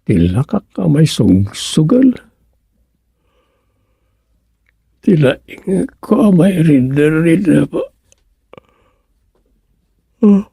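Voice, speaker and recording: A man's voice: on the high side, big pitch swings, slow, creaky, long pauses, warm tone, breathy edge, no echo, heavily acted. A man with an Ilocano accent playing a grumbling villager who frets over his neighbours in a radio comedy drama. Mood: weary.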